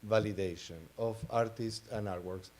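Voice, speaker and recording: Man, Argentine accent, lowish pitch, hesitant, pausing, informal panel talk. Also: steady tone, darkish tone. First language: Spanish. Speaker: panelist, art advisor.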